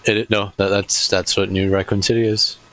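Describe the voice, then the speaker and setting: A man's voice: lowish voice, level tone, normal speed, sharp tone. A man co-hosting a podcast, chatting casually with blunt, joking, sweary remarks. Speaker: podcast co-host. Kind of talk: casual chat.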